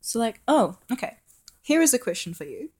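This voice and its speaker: A woman's voice: on the high side, very animated, moderate pace, a little mellow, breathy edge. A young woman co-hosting a podcast in casual chat, playful, laughing a lot and acting out quotes. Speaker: podcast co-host.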